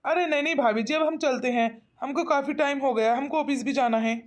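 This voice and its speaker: A woman's voice: high-pitched, fairly quick, close to an everyday voice. A woman voicing a polite, obedient young son in an amateur cartoon comedy skit. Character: mild, obedient young man, a dutiful son.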